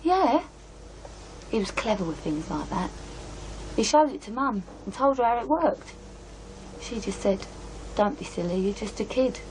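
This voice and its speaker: A woman's voice: mid-range voice, expressive, measured pace, pauses often, darkish tone, slight background noise, lightly acted, low-quality recording. An actress playing a guarded, matter-of-fact young working-class woman with a British accent and blunt colloquial speech in an audio drama. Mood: confiding. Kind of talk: radio drama dialogue.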